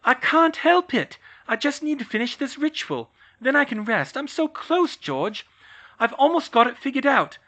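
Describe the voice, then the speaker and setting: A narrator voicing a distraught, overworked young witch who shouts and breaks down. The voice is high, strained and loud, in a storybook reading. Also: sing-song, moderate pace, heavily acted. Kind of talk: audiobook reading of fan fiction. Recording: slightly muffled recording.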